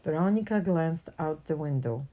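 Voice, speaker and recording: A woman reads a picture book aloud in an expressive storytelling style for children. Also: low-pitched, normal speed, poor audio. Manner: animated character dialogue with plain narration.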